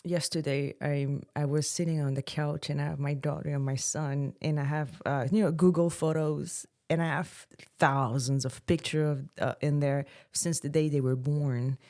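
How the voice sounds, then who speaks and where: A woman's voice: low voice, steady tone, moderate pace, hardly any pauses, darkish tone. A woman in her late thirties co-hosting a casual podcast, telling personal stories candidly and laughing often. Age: 30s. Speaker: podcast co-host.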